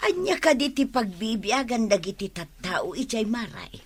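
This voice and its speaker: A woman's voice: a little high, very animated, moderate pace, slightly rough. An actress playing a village woman in a radio comedy, full of questions for her neighbor. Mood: curious. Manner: inquisitive, questioning. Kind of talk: radio comedy drama acting.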